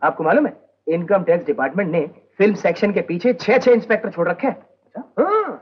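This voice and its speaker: An actor playing a fast-talking, fawning male secretary who smooth-talks clients in 1970s film dialogue. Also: sits high, sing-song. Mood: ingratiating. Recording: dull old film soundtrack.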